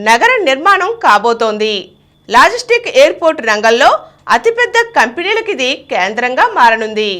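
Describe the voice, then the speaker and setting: A woman's voice: high voice, a bit bright. A woman reading a scripted news bulletin. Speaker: news reader.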